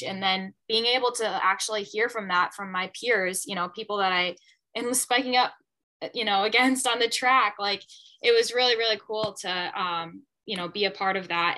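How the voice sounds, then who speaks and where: A woman's voice: mid-range voice, bright, forceful tone, rough recording. A young woman hosting a casual interview, chatty and enthusiastic, with plenty of likes and you knows. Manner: enthusiastic, chatty, many fillers. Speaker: podcast host, former athlete.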